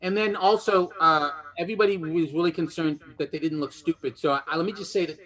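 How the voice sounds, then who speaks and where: A man hosting a lively quiz game, teasing and encouraging the contestants as he goes. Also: on the high side, fairly quick, rough recording. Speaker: game show host.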